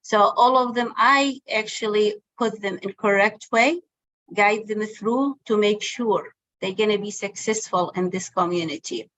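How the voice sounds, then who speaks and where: A woman whose first language is Arabic, speaking on a panel in halting English with many pauses and fillers. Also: medium pitch, fairly bright tone, poor audio. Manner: halting non-native phrasing, many fillers. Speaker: panelist, family support worker. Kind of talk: panel discussion talk.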